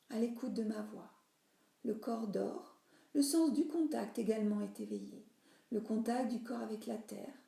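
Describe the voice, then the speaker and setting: Woman, yoga nidra teacher, guided meditation, creaky mellow voice, soothing instructions, soft breathy endings. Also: fairly high pitch. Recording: roomy, reverberant voice recording.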